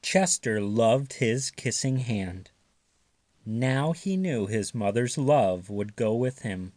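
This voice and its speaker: Expressive, unhurried male storybook reader. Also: mid-range voice, dry recording. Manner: expressive, careful read-aloud delivery. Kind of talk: scripted children's story narration.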